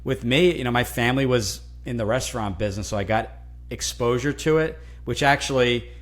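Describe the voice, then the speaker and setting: A man from New Jersey whose first language is Italian, speaking as a guest in a casual interview, animated and often restarting words. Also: medium pitch, fairly quick. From New Jersey, USA. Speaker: guest, franchise consultant.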